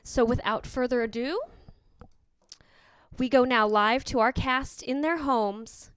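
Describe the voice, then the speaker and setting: A woman host giving a scripted, theatrical introduction to spooky tales in a measured way. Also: a little high, a little hiss or hum. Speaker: host, announcer. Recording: music behind, dry sound. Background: music.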